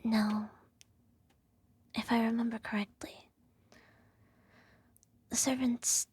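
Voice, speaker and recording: A woman playing a regal, self-righteous evil empress who soothes her child with a whispered ASMR bedtime story in a measured, gently condescending way. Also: on the high side, no room sound. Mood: doting, coaxing. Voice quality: soft, breathy whisper. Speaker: ASMR roleplay performer.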